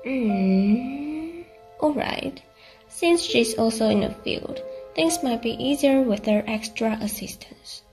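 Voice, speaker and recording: Polite male detective acted by a young woman, highish voice, radio play, prominent music underneath. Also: takes it slowly, rough recording. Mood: thoughtful. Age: young adult. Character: polite, composed male detective taking charge. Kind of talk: student English radio drama acting. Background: loud background music.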